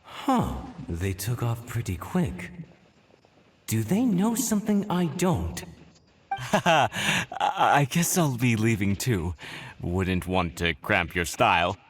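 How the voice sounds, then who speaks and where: A man's voice: on the low side, big pitch swings, slow, a little hiss or hum. A man voicing an awkward, easygoing male admirer in a video game, casual and a little hesitant. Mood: puzzled, sheepish.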